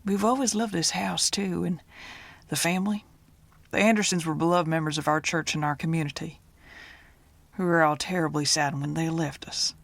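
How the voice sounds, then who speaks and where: A woman's voice: low voice, steady tone, moderate pace, creaky, no room sound. An actress playing a polite, folksy but guarded small-town pastor's wife in her thirties in a screenplay reading. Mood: folksy welcome. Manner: folksy, genteel, euphemistic. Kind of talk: screenplay table-read acting.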